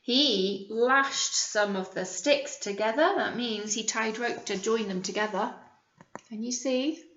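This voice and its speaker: A British woman, a primary school teacher, reading a picture book aloud to young children and pausing to explain and ask simple questions. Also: on the high side, fairly bright tone, roomy sound, highs cut off.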